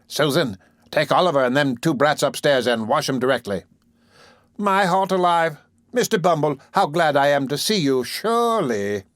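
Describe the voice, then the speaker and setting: A man's voice: mid-range voice, fairly quick, fairly bright tone, dry recording, studio quality, lightly acted. A man voicing a fawning, scheming woman who runs a nursery for orphans, gushing and wheedling with overdone humility. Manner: gushing, wheedling, overly humble. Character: fawning, scheming middle-aged woman running a workhouse nursery.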